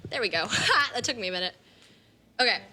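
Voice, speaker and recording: A woman in casual, quick and offhand stage banter between songs, introducing her band at a live music venue. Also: fairly high pitch, expressive, noisy background.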